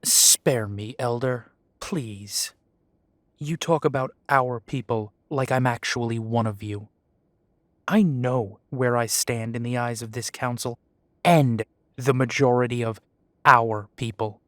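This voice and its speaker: A man's voice: medium pitch, fairly even intonation, takes it slowly, dry recording, lightly acted. A man playing a sardonic half-breed werewolf. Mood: tense, bitter. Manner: dry, sarcastic, wry.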